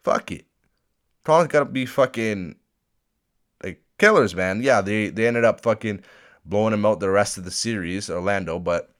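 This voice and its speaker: A very deep-voiced man with a blocked, stuffy nose rants alone into a desk mic. He swears nearly every sentence and rambles from thought to thought in an excitable, casual way. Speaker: podcast host. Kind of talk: casual solo rant. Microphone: desk microphone at a laptop.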